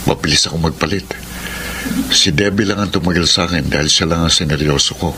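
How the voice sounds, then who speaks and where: An actor playing an earnest, persuasive young suitor and former playboy in a dramatic radio romance. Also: male voice, deep voice, quick pace, a little hiss or hum. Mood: earnest, confessing. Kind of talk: Tagalog radio drama acting. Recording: radio drama with loud background music. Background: loud music.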